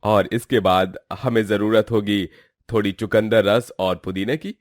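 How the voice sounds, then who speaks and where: A man's voice: lowish voice, fairly even intonation, unhurried, no room sound, studio quality, lightly acted. A man playing a humble, respectful village cook and devoted brother in a dramatized moral story. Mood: instructive.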